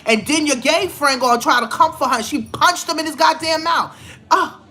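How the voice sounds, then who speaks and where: A woman's voice: high-pitched, normal speed, sharp tone. A woman giving blunt, scolding celebrity gossip commentary in a vlog, with emphatic outbursts. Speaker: vlogger.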